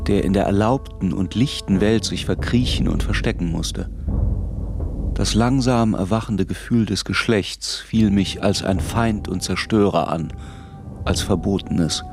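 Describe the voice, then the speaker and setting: A man playing a reflective narrator who recalls his troubled boyhood in a radio play, measured and introspective. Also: on the low side, over music. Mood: grave, introspective. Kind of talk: scripted literary first-person narration in radio play.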